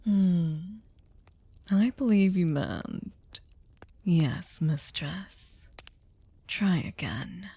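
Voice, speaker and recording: A woman playing a sadistic, seductive vampire mistress in an ASMR roleplay, commanding and condescendingly tender, breathy and often whispering. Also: on the low side, animated, extremely slow, pauses often, mellow, dry recording, full character voice. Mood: soothing, possessive. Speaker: ASMR voice performer. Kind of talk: ASMR roleplay, slow intimate monologue. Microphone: close studio microphone, dry.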